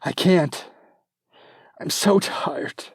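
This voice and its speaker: A man's voice: on the high side, slow pace, a little mellow, breathy edge, no echo, clean studio sound, pitched above the performer's own voice. A man voicing a strong-willed noblewoman in labor, weary and demanding. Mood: strained.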